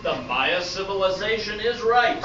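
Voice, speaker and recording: A man's voice: high voice, lively intonation, measured pace, distant mic. A man playing a showy, patient game show host in an amateur radio play, speaking like an announcer. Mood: announcing. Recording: noisy, echoey, muffled stage recording from afar.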